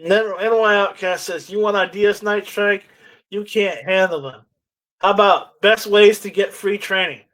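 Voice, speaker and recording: A man with a fairly high-pitched voice hosts a casual live stream. He moves between reading out comments and loose chat. His delivery is halting, with words often repeated as he goes. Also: fairly even intonation, fairly bright tone, poor audio.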